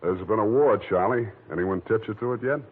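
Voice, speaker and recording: An actor playing a wisecracking, hard-boiled private detective, narrating and talking in a deadpan, sardonic 1940s radio style. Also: male voice, fairly low pitch, fairly quick, breathy edge. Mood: flippant. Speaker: narrator and lead. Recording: muffled vintage radio recording with music.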